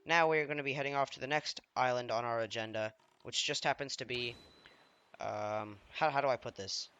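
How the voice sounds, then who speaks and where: A man with a slightly hoarse voice from feeling unwell, giving rambling, self-deprecating commentary while he plays a video game. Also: medium pitch, moderate pace, pushed and bright, muffled recording. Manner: rambling, self-deprecating, trailing off mid-sentence. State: slightly hoarse, under the weather. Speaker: let's play gamer. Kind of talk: casual gameplay commentary.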